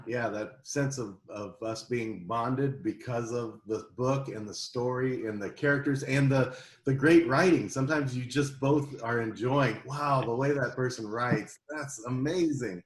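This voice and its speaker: A man's voice: mid-range voice, unhurried, few pauses. A man chatting casually as a podcast guest, recorded with an echoey, roomy sound. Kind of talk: casual chat.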